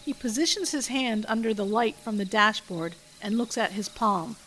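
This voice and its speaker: A woman's voice: a little high, normal speed, fairly bright tone, a little hiss or hum. A woman podcast host reading her own script aloud, stage directions and all. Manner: reads stage directions steadily. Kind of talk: script read-aloud narration.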